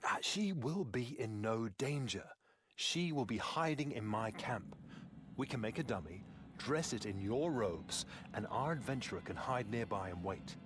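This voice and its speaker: A man playing a wistful, romantic nobleman with a measured, earnest manner in a fantasy video game. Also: medium pitch, animated, breathy edge. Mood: reassuring. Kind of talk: scripted video game dialogue.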